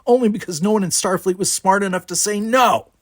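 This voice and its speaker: A man's voice: mid-range voice, animated, normal speed, husky, dry recording, studio quality. A man voicing a belligerent young alien cadet in an audiobook, taunting and aggressive. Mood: heated, accusing. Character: belligerent young male alien Brickar cadet, sneering and relentless.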